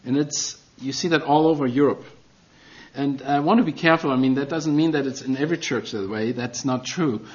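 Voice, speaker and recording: A man's voice: mid-range voice, fairly quick, highs cut off. A middle-aged man with a German accent preaching a sermon as a guest, earnest and self-deprecating, with non-native phrasing.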